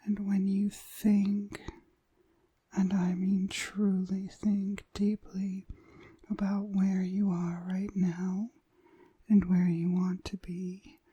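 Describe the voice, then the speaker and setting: A seductive witch hypnotist, played by a woman, whispers very slowly in a heavily breathy voice. She is commanding and possessive, lulling the listener with deliberate phrases in an intimate ASMR roleplay. The sound is close and dry. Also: medium pitch, little pitch movement, mellow, dry recording. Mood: hypnotic, lulling. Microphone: close, dry microphone.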